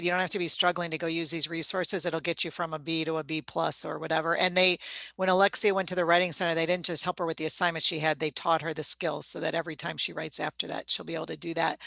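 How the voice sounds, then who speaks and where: A woman's voice: fairly low pitch, gravelly, pushed and bright, no echo. A woman moderating a student panel, encouraging and often restarting her sentences as she introduces each question.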